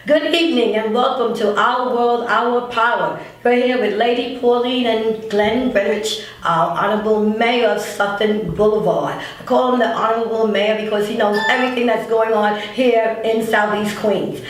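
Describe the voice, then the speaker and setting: A woman's voice: a little high, moderate pace, hardly any pauses. A woman hosting a conversational interview show, introducing and questioning a guest. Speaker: interview host. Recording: echoey room, distant microphone.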